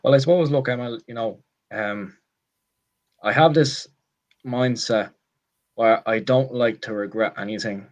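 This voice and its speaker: A man's voice: mid-range voice, a little slow, long pauses, highs cut off. A man in his twenties with an Irish accent, talking casually as an interview guest, rambling and self-deprecating with lots of restarts and "I suppose". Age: 20s.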